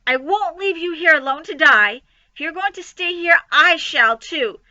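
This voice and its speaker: A woman voicing an excitable young male pig in a storybook reading, high, loud and pleading. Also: normal speed, no echo, muffled recording, heavily acted. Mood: distraught.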